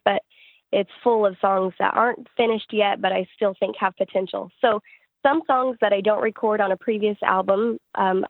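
A woman's voice: mid-range voice, vocal fry, no room sound. A woman singer-songwriter giving conversational answers in an interview, recorded with muffled, band-limited sound.

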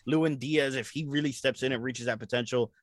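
A man hosting a casual sports podcast, talking in a rambling, animated way and often repeating his words. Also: mid-range voice, fairly quick, no room sound, clean studio sound. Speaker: podcast host.

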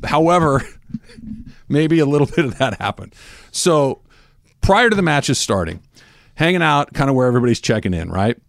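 A man's voice: mid-range voice, sing-song, normal speed, vocal fry, pauses often, dry recording, clean studio sound. A man in his early fifties hosting a talk show, telling stories in a casual, self-mocking way with emphatic repetition. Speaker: sports talk radio host. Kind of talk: casual banter and storytelling.

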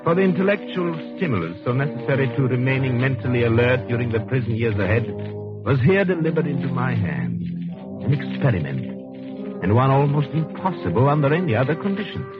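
A man plays a coldly superior murderer in an old radio play. His lowish voice rises and falls theatrically with condescending, measured precision. The vintage recording is dull, with some music behind it. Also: sing-song, natural voice. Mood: gloating, musing. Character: cold, arrogant intellectual male murderer in prison. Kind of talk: 1940s radio acting.

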